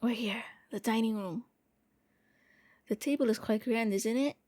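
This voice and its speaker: A woman voicing a gentle, lonely young vampire prince in an anime-style ASMR roleplay, speaking softly and coaxingly with a playful, hesitant manner. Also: on the high side, unhurried, no room sound, studio quality, natural voice. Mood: welcoming, tentative.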